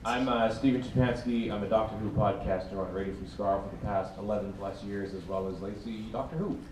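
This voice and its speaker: A man speaking on a convention panel as a podcaster, halting and wry, repeating words as he thinks. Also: fairly low pitch, background noise. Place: large convention hall. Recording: echoey hall, far from mic.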